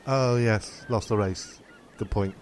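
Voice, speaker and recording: A man's voice: lowish voice, takes it slowly, a little mellow, a little hiss or hum. A man playing a cocky, matey castaway sidekick in a comic radio play, cheeky and easily convinced. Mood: conceding.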